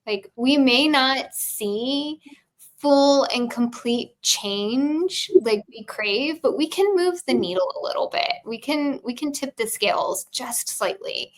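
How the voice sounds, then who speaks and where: A woman with a high-pitched voice who talks in a loose, reflective way. She thinks aloud about a movie and fills her pauses with "you know" and "um.". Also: poor audio. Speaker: podcast co-host. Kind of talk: casual chat about a film and tarot.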